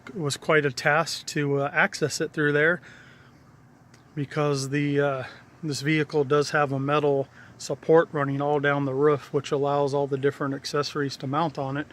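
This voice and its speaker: Higher-pitched man in a casual hands-on walkthrough. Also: flat delivery, normal speed, a bit bright. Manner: unscripted, matter-of-fact explaining. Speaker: hobbyist presenter showing a radio installation.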